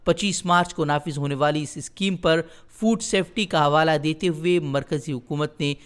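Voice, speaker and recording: A male news presenter with a slightly high voice. He reads a scripted bulletin formally, breaking it into short, headline-like phrases. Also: moderate pace, a bit bright, clean tone.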